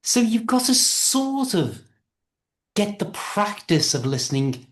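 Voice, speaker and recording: A man's voice: high-pitched, slow, a little hiss or hum. A man from Liverpool with a Liverpool accent, an English conversation tutor explaining things in a casual chat. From Liverpool, England. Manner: lively explaining, with accent impressions.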